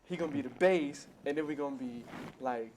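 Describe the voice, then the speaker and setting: A man in his twenties, a singer guesting on a talk show, telling an animated story in slangy casual speech. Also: mid-range voice, a little fast, slight background noise. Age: 20s. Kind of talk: casual interview.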